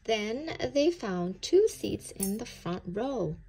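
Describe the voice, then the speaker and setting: A woman reading a children's story aloud in an expressive, deliberate way. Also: mid-range voice, slight background noise, poor audio.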